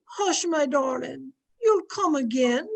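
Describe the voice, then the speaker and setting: A woman's voice: high voice, lively intonation, slow pace, gravelly, heavily acted, rough recording. A woman playing a tender old family confidante in a radio drama, soothing and motherly. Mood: tender, sorrowful. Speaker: actor in an amateur radio play. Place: at home, recorded over video call.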